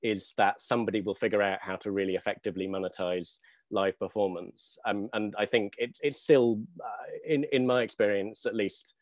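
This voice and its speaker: Deep voiced guy on a video call panel, hesitant, pauses a lot, very dry sound. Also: fairly even intonation, no room sound. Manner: hesitant, halting with long searching pauses. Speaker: theatre maker, panelist. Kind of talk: panel discussion, thinking aloud. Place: small dim room at home, video call. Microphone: wired earphone mic on video call.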